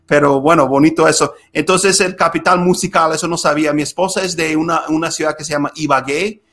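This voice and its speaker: A young man from the United States hosts a casual interview podcast, asking easy questions with plenty of fillers. His voice sits a little high for a man. He keeps a relaxed, friendly pace. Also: fairly quick. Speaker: podcast host.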